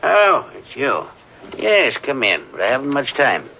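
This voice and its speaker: A man's voice: medium pitch, huge pitch swings, a little slow, creaky, heavily acted. A man playing a stern, secretive older professor and father in a 1940s radio mystery, brusque and authoritative. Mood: guarded, dismissive. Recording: hissy, muffled vintage radio recording.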